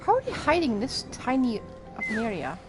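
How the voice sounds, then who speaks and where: A woman's voice: fairly high pitch, huge pitch swings, a little fast, music in the background. A woman giving casual live gameplay commentary, chattily thinking aloud to herself. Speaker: game streamer. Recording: clearly noisy background.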